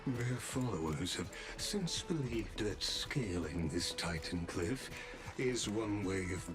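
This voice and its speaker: Courteous cryptic fantasy game man: low voice, unhurried formal delivery, music underneath. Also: lively intonation. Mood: knowing, measured. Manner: formal, measured. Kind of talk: scripted game voice acting.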